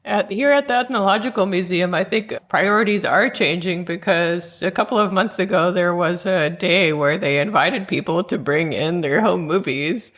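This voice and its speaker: A woman's voice: fairly low pitch, moderate pace, hardly any pauses, fairly bright tone. Upbeat woman podcast host. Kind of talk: interview hosting.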